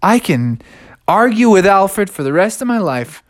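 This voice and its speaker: A woman's voice: mid-range voice, big pitch swings, measured pace, dry recording, studio quality, voice pushed up. A woman voicing a grumbly but game young male bear in a children's story, easily exasperated. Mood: weary.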